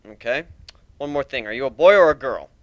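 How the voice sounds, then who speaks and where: A man's voice: mid-range voice, expressive, brisk, no echo, lightly acted. A man voicing a cocky, menacing male griffin warrior, taunting and sarcastic, in a dramatic fantasy story reading. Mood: matter-of-fact, threatening.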